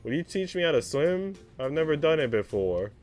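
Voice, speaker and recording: Guy doing a pouty little girl from a visual novel, pitched up, whiny n excitable, faint music. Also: normal speed. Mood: eager, sweet. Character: eager, tactless little girl, pouty and childlike.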